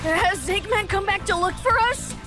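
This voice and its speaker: Anxious young cartoon sidekick: high voice, meek, hesitant, apologetic, music behind. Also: female voice, moderate pace. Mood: worried. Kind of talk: cartoon comic dub acting.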